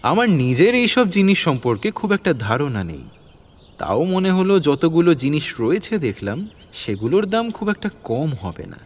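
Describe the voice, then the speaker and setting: A man's voice: on the high side, big pitch swings, normal speed. A man narrating a scripted detective story as its narrator.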